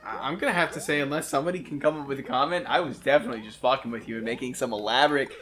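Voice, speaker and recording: A man's voice: mid-range voice, very fast, a bit bright, soft background music. A young man co-hosting a gaming video, chatting casually with lots of slang and swearing. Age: young adult. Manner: profane, slangy. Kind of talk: casual gameplay banter.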